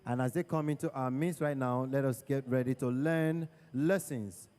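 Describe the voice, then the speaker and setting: A man hosting a church program, addressing the congregation in a measured, preacherly way. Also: mid-range voice, darkish tone. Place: large church hall. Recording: long reverb of a big hall.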